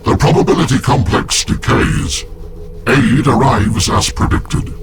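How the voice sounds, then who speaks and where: A male actor as an ancient-sounding mystic warrior in a video game, grave and devout. His phrasing is portentous and archaic, under very loud cinematic music. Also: medium pitch, measured pace, natural voice. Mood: foreboding. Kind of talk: dramatic video game acting.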